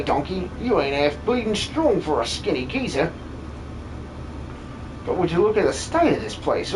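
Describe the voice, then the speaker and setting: A man voicing a cowardly, cheeky miner with a Cockney accent and slangy, theatrical delivery in a video game fan dub. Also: high-pitched. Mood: relieved, grumbling. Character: cowardly, cheeky working-class male miner, grumbling and excitable. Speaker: streamer voice acting game characters. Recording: clearly noisy background.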